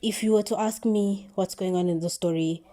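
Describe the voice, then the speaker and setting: A woman's voice: medium pitch, fairly even intonation, moderate pace, warm tone. A South African woman rambling casually through commentary in a playful, tongue-in-cheek, chatty way, with plenty of slang. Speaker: video creator listing favourite analog horror series.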